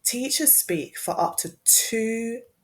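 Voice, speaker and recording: A woman, a speech and language therapist, giving a measured, scripted presentation that explains as it goes. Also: on the high side.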